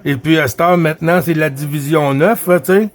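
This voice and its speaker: Male CB radio operator, Quebec French accent, fairly high-pitched, chatty and friendly, loops back on phrases and call codes. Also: a little fast. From Quebec, Canada. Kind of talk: casual radio chat.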